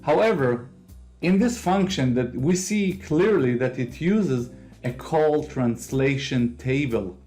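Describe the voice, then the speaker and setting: An Israeli-accented man talks through a screen recording, explaining each step in plain, slightly non-native English at an ordinary middle pitch. Quiet music plays faintly underneath his voice. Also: slow. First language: Hebrew. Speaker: host of a software tutorial channel. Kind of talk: screen-recorded software walkthrough.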